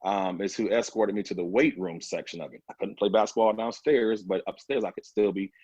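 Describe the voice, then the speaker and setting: A man's voice: on the low side, brisk, darkish tone, slightly rough, no echo, highs cut off. A man in his thirties, a personal trainer, telling his story as a guest in a casual interview, with many fillers along the way. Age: 30s.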